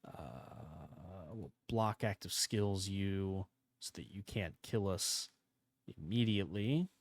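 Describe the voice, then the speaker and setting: A man with a slightly hoarse voice from a starting cold, casually commenting on his gameplay as he thinks aloud. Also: sits low, takes it slowly. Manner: rambling, relaxed, thinking aloud. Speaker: gaming channel host.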